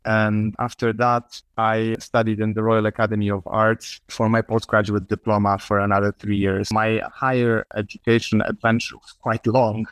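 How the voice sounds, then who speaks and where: A middle-aged man from Poland answering interview questions in a reflective, measured way, pausing on fillers and restarts. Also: on the low side, flat delivery, smooth, no room sound. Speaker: guest artist. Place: remote call from abroad.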